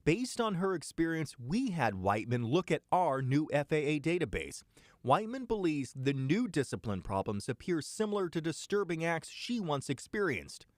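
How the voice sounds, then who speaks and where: A man's voice: on the high side, big pitch swings, moderate pace, dry recording. An American man narrating a scripted investigative TV news report, recorded as a close studio voice-over. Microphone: close studio voice-over microphone.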